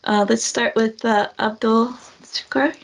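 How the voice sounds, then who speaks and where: A woman's voice: on the high side, steady tone, gravelly. A woman co-hosting an online class, calling on participants to introduce themselves over a video call. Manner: brisk, calls on participants. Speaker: co-host.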